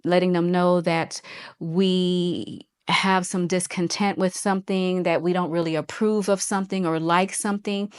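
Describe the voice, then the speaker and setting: A woman's voice: lowish voice, clear, resonant tone. A woman in her forties talking to viewers in an earnest, reflective way, often going back to repeat a phrase. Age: 40s. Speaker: host. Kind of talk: personal motivational talk.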